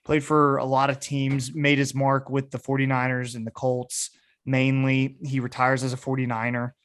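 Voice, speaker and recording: A man's voice: medium pitch, monotone, normal speed, fairly bright tone, clear, resonant tone. Man hosting a casual sports podcast.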